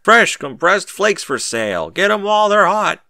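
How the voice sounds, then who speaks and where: Fat male market hawker, played by a male narrator, loud, irritable, raised forceful voice in an audiobook story. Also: sits high, very animated, moderate pace, no room sound, clean studio sound, pitched above the performer's own voice, heavily acted. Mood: hawking. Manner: shouting, hawking.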